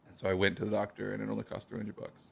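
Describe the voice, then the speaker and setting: A man's voice: lowish voice, completely flat delivery, very fast, creaky. A man co-hosting a casual comedy podcast, who jokes and explains things at length. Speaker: podcast co-host. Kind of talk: casual chat. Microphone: headphones with a remote call setup.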